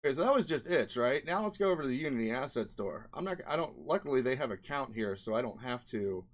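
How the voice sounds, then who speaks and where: Male indie game developer, medium pitch. Casual, conversational vlog explanation with rambling phrasing and frequent tag questions. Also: animated, fast. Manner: conversational, often tags sentences with "right?" and "you know".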